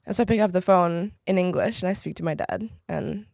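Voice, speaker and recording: A young woman from the Czech Republic whose first language is French, talking as an interview guest. Her voice carries a gravelly fry, and the sound is close and dry. She rambles in chatty stories full of fillers. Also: fairly low pitch, brisk, no echo. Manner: chatty, heavy "like" filler, animated storytelling. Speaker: actor, podcast guest. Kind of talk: casual interview chat.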